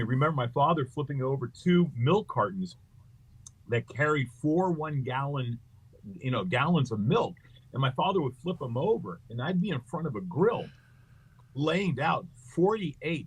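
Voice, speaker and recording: A middle-aged man with a husky, slightly raspy voice pitched a bit above most men's. He is a comedian guest who spins loose, joking anecdotes with plenty of "uh" and "you know", and his pitch wanders up and down as he talks. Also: lively intonation, moderate pace, low-quality recording. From United States. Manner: joking, rambling anecdotes with many fillers. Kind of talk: casual interview storytelling.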